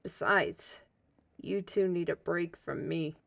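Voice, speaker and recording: A woman's voice: fairly low pitch, steady tone, takes it slowly, vocal fry, a bit bright, no room sound, full character voice. A woman voicing a weary female steward who talks in a subdued, deflecting way.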